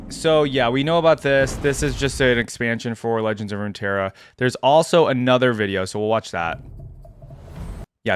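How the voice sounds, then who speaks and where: A man gives casual reaction commentary. His voice sits at a middle pitch, and he muses aloud conversationally as he watches, with soft music in the background. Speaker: reaction video host.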